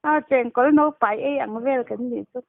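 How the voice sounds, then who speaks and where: High-voiced woman on a tinny phone line. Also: fast talker, creaky, no echo. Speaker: phone caller. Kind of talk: casual phone chat. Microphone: telephone.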